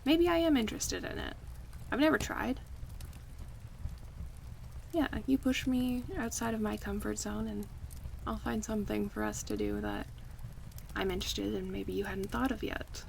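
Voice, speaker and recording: A woman playing an affectionate, playful close friend in a casual, relaxed ASMR roleplay, chatting and teasing in a conversational way. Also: mid-range voice, moderate pace, creaky, pauses often, a little hiss or hum.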